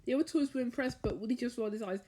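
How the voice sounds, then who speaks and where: A woman reading a children's bedtime story aloud, haltingly, stumbling over and repeating words as she goes. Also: a little high, very noisy. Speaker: storybook reader.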